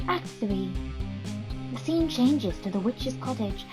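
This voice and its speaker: A woman's voice: a little high, lively intonation, slow, loud music behind the voice. A woman narrating stage directions for a children's puppet play.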